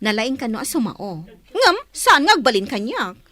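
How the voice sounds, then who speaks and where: A woman's voice: fairly high pitch, big pitch swings, normal speed, pushed and bright, heavily acted. A woman playing a scheming young girlfriend who acts sweet, in a radio drama. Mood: scornful, plotting.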